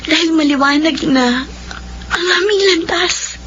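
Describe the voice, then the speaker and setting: Very high-pitched, emotional Filipino elder sister. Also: female voice, measured pace, dull audio, a little hiss or hum. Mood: grateful, hopeful. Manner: emotional, melodramatic delivery. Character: devoted, long-suffering elder sister, tearful and fierce. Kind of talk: Filipino radio drama acting. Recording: band-limited radio drama with loud music.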